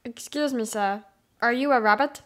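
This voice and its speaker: A woman's voice: on the high side, moderate pace, voice pushed up, lightly acted. A woman voicing a brave, courteous, trusting male turtle in an earnest, formal way. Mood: earnest, polite.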